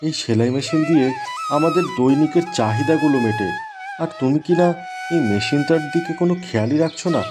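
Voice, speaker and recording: A man's voice: fairly high pitch, fairly quick, over music, natural voice. A man playing an aggrieved, hard-working village husband in a cartoon. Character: aggrieved, toil-worn village husband who sells clothes. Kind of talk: animated cartoon voice acting.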